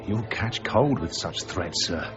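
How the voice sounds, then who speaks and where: An actor playing an insolent, falsely jovial villain in a period radio drama, theatrical, mocking and menacing. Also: male voice, sits low, expressive, slow. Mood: mocking menace. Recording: dull radio recording, music and crowd behind.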